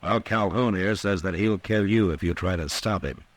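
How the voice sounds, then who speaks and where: A stern frontier marshal in an old-time radio western. His American voice is very low, and he talks in terse, commanding lines with steady authority. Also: moderate pace. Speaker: lead actor and narrator. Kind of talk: 1950s radio western acting.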